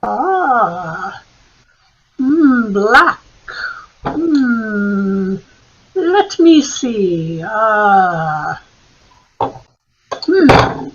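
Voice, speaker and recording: A woman playing a shrewd homicide sergeant in a radio mystery, with a musing, drawn-out, theatrical delivery. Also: medium pitch, big pitch swings, husky, lo-fi sound, full character voice. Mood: musing, knowing.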